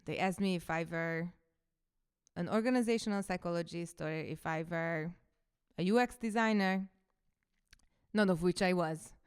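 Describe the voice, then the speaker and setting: A woman's voice: fairly low pitch, normal speed, smooth. A woman in her thirties, a coach and podcaster whose first language is Hungarian, telling her story in a reflective, casual monologue.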